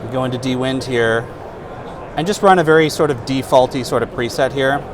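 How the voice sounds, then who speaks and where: A man in his thirties walks through a product demonstration at a trade-show booth, speaking casually with the odd filler. His lapel mic picks up a steady wash of hall noise around him. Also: medium pitch, fairly quick. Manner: relaxed, explanatory, casual fillers. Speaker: product specialist demonstrating software.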